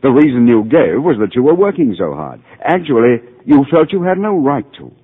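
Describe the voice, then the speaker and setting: An actor playing a calm, patient middle-aged psychiatrist who narrates and gently explains things in a 1940s radio drama. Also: male voice, medium pitch, normal speed, slightly rough. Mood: reassuring, gentle. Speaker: narrator and doctor. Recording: tinny old radio broadcast.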